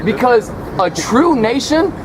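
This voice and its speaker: A man's voice: very high voice, very animated, slow pace. A man stopped on the street, questioning a preacher politely but persistently. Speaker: passer-by. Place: city street outdoors. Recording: noisy street with crowd.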